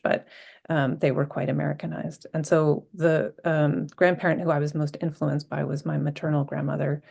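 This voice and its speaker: A woman panelist from Vermont giving a reflective personal account, halting with frequent ums and restarts. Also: low voice, creaky, darkish tone, husky.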